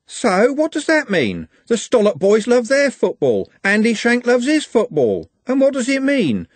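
A man's voice: very high-pitched, huge pitch swings, measured pace, no echo, pitched above the performer's own voice, full character voice. A man voicing a blunt, cynical young working-class man in a storybook reading. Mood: sardonic. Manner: blunt, sardonic.

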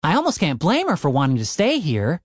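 A jittery young adventurer, as a male narrator voices him, pushed well above a normal speaking pitch. He sounds nervous and sharp, with a lively, bouncing delivery. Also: fairly quick, no room sound, clean studio sound. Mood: calm.

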